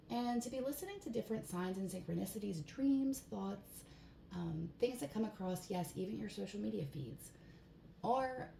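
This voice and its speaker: Hoarse, gravelly woman reading tarot cards. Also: medium pitch. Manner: chatty, relaxed, many fillers. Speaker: tarot card reader. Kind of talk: casual spoken card reading. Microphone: phone. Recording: echoey room, faint background hiss.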